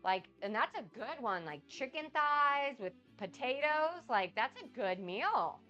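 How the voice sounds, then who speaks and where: A woman's voice: high-pitched, big pitch swings, measured pace, pushed and bright, highs cut off, faint music behind. A woman hosting a casual cooking livestream, chatting with viewers and answering chat while she cooks, a bit sniffly and nasal from a cold. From United States. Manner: chatty, rambling, reads out and answers chat comments.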